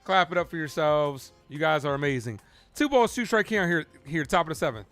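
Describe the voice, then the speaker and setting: A man hosting a live fan baseball stream, calling play-by-play with excitable calls and chatty asides to viewers. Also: fairly high pitch, brisk, soft background music. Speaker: livestream host and baseball play-by-play commentator.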